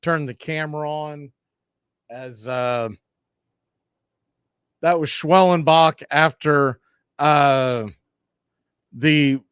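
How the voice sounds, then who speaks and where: High clear-voiced guy hosting sports talk, upbeat and loose, dry close mic. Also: fairly even intonation, very slow, long pauses, fairly bright tone, dry recording. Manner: upbeat, loose, talks off the cuff with fillers. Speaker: radio show host. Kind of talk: casual sports talk show.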